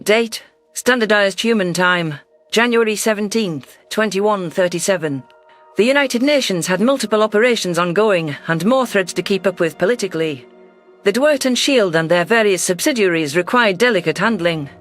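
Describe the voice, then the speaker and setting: A man's voice: high-pitched, moderate pace, fairly bright tone. A man reading scripted first-person science fiction narration as a storyteller. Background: faint music.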